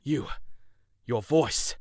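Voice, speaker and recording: A man voicing a murderous, obsessed symbiote supervillain in a comic book dub, with a sinister, drawn-out delivery. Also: mid-range voice, darkish tone, slightly rough, no room sound, studio quality. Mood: obsessed, yearning.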